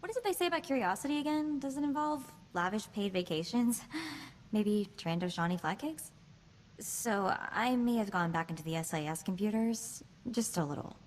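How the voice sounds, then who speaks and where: A woman voicing a quick-witted, sardonic young female hacker in a space video game, chatty in manner. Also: medium pitch, animated, a little hiss or hum, natural voice. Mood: wry, mischievous.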